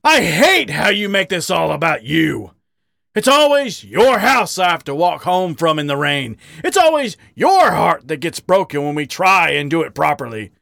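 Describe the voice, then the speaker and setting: A man's voice: fairly high pitch, very animated, moderate pace, creaky, pushed and bright, dry recording, clean studio sound, exaggerated voice. A man voicing a hot-tempered, wounded male badger in a dramatic story reading, snappy, indignant and emotional. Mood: angry, hurt. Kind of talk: dramatic audiobook character reading.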